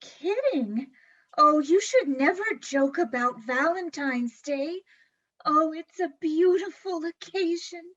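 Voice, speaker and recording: A woman's voice: high voice, expressive, slow, a bit bright, muffled recording, heavily acted. A woman playing a bashful, giggly, lovestruck housemaid in an old-time radio comedy, sentimental and coy. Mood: dreamy.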